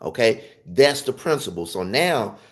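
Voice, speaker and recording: A man's voice: on the low side, very animated, a little slow, a bit bright. A man with a Southern US accent giving an informal music lesson, emphatically repeating and rephrasing his points. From Memphis, Tennessee, southern US. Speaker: music teacher. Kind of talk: informal instructional talk to viewers.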